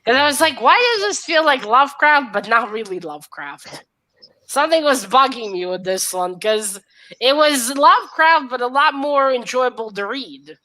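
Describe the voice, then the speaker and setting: Woman, very bright tone, slightly high pitch, casual book chat, expressive retelling. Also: normal speed, low-quality recording.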